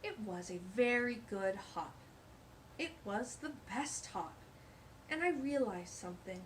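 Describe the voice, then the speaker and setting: A woman with a fairly high voice reads a children's story aloud. Her delivery is expressive and exclamatory, slipping into chatty asides, with slight noise in the background. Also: a little slow. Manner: animated, exclamatory.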